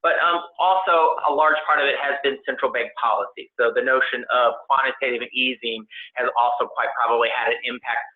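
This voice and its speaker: Halting man on a tinny conference line. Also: medium pitch, fairly even intonation. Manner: halting, hedging, technical explanation. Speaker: investment analyst presenting. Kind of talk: conference call presentation. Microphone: conference call line. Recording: tinny phone-line conference call audio.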